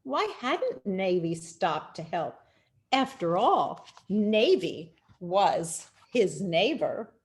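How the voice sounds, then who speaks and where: A woman reading a children's picture book aloud in an expressive, deliberate storytelling manner with dramatic pauses. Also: mid-range voice. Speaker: storyteller, rabbi.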